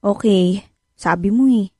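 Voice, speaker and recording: A woman's voice: medium pitch, a little mellow, slightly rough, dry recording, clean studio sound. An actress playing a caring, inquisitive wife and mother in her thirties in naturalistic radio drama dialogue. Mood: reassuring. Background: music bed.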